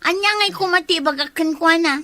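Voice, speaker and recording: Actress as very high, indignant village woman. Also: brisk, pushed and bright. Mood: cool displeasure. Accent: Ilocano. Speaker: comedy drama actor. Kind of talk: Ilocano radio-style comedy drama dialogue. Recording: faint music behind.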